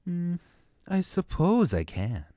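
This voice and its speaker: A man's voice: sits high, huge pitch swings, warm tone, no room sound, studio quality, full character voice. A man playing a smugly nonchalant fairy witness in an audio drama, speaking in a genteel, arch, theatrical way. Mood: coy, amused. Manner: genteel, arch, drawn-out phrasing.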